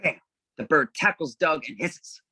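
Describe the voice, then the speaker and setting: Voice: mid-range voice, animated, a little slow, pushed and bright, slightly rough, no echo. Dry-sounding narrator reading stage directions. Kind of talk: scripted narration at a casual table read.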